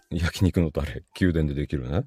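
A man's voice: a bass voice, moderate pace, dark tone, dry recording. A man chatting casually as a guest on a live stream, polite and agreeable, often chiming in with short responses. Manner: agreeable, polite, frequent backchannels. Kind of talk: casual chat.

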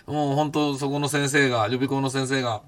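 Brief: man, 50s, podcast host, lively and blunt in casual memoir talk, mid-range voice. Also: mid-range voice, fairly quick. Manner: animated, blunt storytelling with rough casual speech. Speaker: podcast host recounting his past.

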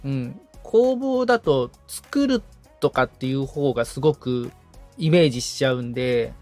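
A man's voice: medium pitch, lively intonation, moderate pace, darkish tone, soft background music. A man speaking as a podcast assistant, thinking aloud tentatively in a casual, reflective discussion. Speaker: podcast assistant, guitar repairman being coached.

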